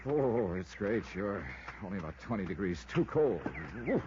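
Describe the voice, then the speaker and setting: An actor with an American accent playing a wry, sardonic investigator in 1950s radio detective style. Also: male voice, fairly low pitch, sing-song, moderate pace, background noise. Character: wry, hard-boiled male insurance investigator. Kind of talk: 1950s radio detective acting, first-person narration. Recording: muffled old radio recording with music.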